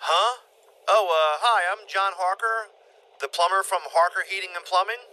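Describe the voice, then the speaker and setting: Man playing a high-voiced, nervous plumber. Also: moderate pace, pushed and bright, low-quality recording. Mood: polite, unsure. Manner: hesitant, stammering, affable. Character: awkward, polite, nervous male plumber. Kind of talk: comedic audio play acting.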